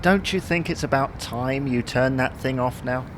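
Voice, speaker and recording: A man's voice: medium pitch, normal speed, a little hiss or hum, close to an everyday voice. A man playing a grumpy, whining, babyish husband in an audio comedy drama, grouchy and plaintive in manner. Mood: teasing, weary.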